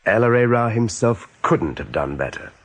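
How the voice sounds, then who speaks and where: A man's voice: medium pitch, animated, moderate pace. A man narrating a radio play story expressively and with suspense.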